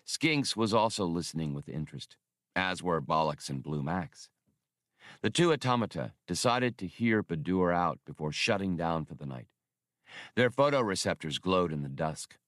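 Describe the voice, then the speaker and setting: A man's voice: deep voice, expressive, moderate pace, a bit bright, dry recording, studio quality. A man reading a novel aloud as a scripted audiobook narration.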